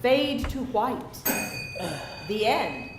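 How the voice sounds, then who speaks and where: A woman with a fairly high voice reads scripted stage directions. She sounds distant, in a very echoey room with clear background noise. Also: big pitch swings, very slow. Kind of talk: scripted narration at a live screenplay reading.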